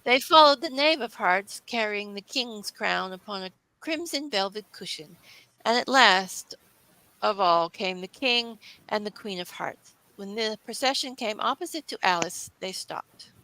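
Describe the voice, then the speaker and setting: A woman reads a classic story aloud as narrator. Her voice is bright and forward at a middling pitch, recorded close and dry. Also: animated, unhurried, no room sound, poor audio.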